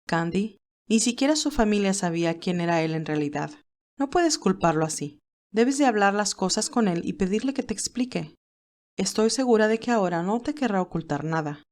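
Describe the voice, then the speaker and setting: A woman voicing a calm, motherly older woman who reasons in a measured, gently firm way in audiobook dialogue. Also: mid-range voice, lightly acted.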